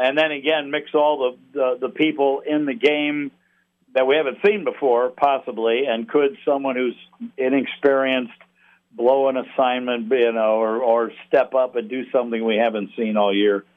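A man on a thin phone line chatting casually about sports as a guest announcer, in a folksy, rambling, halting way that repeats words. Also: mid-range voice. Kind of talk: casual sports analysis chat. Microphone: phone call.

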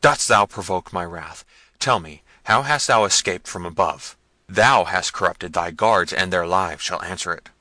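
A man's voice: sits low, animated, moderate pace, no room sound, full character voice. Man doing a wrathful tyrant prince. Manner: loud, harsh, threatening.

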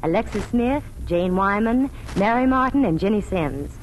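A female reporter doing scripted advertising chat in 1940s radio style. Her intonation swings in an animated way, over faint noise on a vintage broadcast. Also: mid-range voice, moderate pace, low-quality recording. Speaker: Hollywood reporter, ad presenter.

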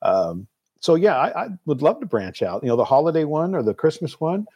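A man giving a casual interview as a film producer and guest, speaking in a halting, thoughtful way. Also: on the high side, very animated, breathy edge, lo-fi sound. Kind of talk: casual talk-show interview.

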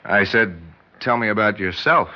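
A man's voice: fairly low pitch, normal speed, natural voice. Actor as confident, teasing man in muffled radio drama. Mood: teasing, probing. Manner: smooth-talking, probing, self-assured. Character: confident, teasing, persistent male suitor and sportsman. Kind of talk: 1960s radio drama acting. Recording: dull 1960s radio recording.

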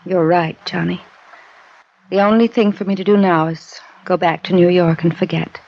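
A woman playing a heartbroken, resigned woman in a 1950s radio drama, speaking haltingly. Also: lowish voice, steady tone, vocal fry. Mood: resigned sadness. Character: heartbroken, resigned young woman, jilted lover. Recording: dull vintage 1950s radio recording.

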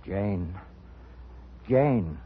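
An actor playing a steady, controlled man in a 1950s radio drama, with a husky voice. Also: low-pitched, very slow, slight background noise, exaggerated voice. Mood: calm, firm. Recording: thin, narrow old radio recording.

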